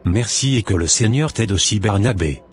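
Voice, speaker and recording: Very deep-voiced, earnest father played by a man. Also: lively intonation, moderate pace, faint music behind, lightly acted. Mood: earnest, moved. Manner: earnest, solemn. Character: repentant, earnest father, a humble churchgoing working man.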